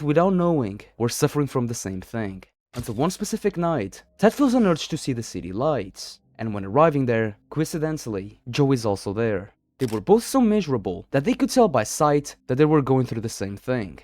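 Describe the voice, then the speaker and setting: Animated male horror-story narrator, dry sound. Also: medium pitch, no echo. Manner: dramatic storytelling. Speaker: video narrator.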